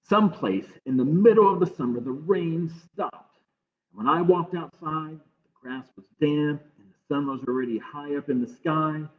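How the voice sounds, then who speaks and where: A man's voice: on the high side, moderate pace. Man reading a storybook, phone-like muffled audio. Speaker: library storytime reader. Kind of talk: reading a picture book aloud to children. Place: livestream from a closed-library online program.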